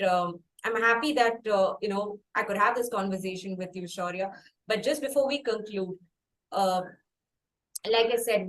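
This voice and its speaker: A woman's voice: mid-range voice, rough recording. Indian woman hosting an interview. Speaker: podcast host. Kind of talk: conversational interview.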